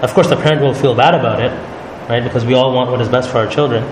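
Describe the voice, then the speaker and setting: A man leading a religious study circle, explaining calmly and often checking in with "right?". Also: mid-range voice, fast. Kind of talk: religious talk to a group. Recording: echoey hall with noise.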